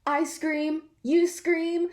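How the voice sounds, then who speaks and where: A woman voicing an excitable, loyal young female kitten who calls out in a children's storybook. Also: sits high, drawn-out words, voice pushed up. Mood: gleeful. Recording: roomy, echoing sound.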